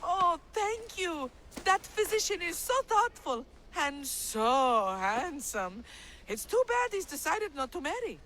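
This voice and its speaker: An outspoken villager in an ancient-world game. Her voice is high and swings widely as she speaks her mind. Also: sing-song, slow, a bit bright, heavily acted. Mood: admiring, gossipy. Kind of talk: video game cutscene acting.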